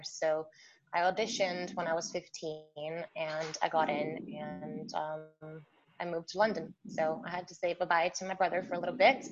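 A woman from Norway, a pianist guest, chatting casually about her life with lots of fillers. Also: lowish voice. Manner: chatty, warm storytelling with frequent fillers. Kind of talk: casual interview chat. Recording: muffled remote line with faint hiss.

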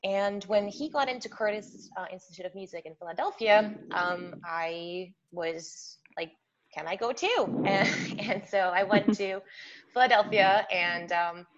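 A woman's voice: mid-range voice, a little slow. Chatty woman pianist on a muffled line. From Norway. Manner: chatty, warm storytelling with frequent fillers. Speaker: pianist guest. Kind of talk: casual interview chat. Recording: muffled remote line with faint hiss.

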